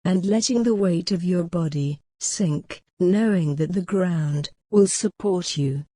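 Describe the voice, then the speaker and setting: A woman leading a guided mindfulness meditation in a gentle, soothing, measured way with long pauses. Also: on the low side, dry recording.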